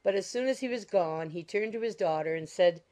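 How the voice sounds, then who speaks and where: A woman's voice: mid-range voice, a little fast, mellow. A woman reading scripted narration of a classic novel as an audiobook narrator. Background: music.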